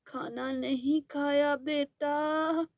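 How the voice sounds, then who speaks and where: A woman voicing a frail, hungry old beggar woman in a cartoon story, speaking slowly and wearily. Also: high-pitched, fairly even intonation, dry recording, clean studio sound, heavily acted. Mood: weary. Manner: slow, weary, begging.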